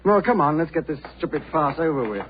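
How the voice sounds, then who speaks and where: Cocky young snob, kinda high voice, muffled hissy old radio drama. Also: male voice, very animated, fast talker. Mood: haughty, impatient. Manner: haughty, impatient. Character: arrogant, cocky young married gentleman. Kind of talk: 1940s radio drama acting. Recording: muffled, noisy 1940s radio broadcast.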